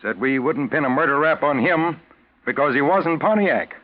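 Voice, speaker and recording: Male actor, tough private detective, matter-of-fact, slangy, animated intonation, 1940s radio acting, narrow tinny recording. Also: on the high side, normal speed, lightly acted. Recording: thin phone-like 1940s radio sound.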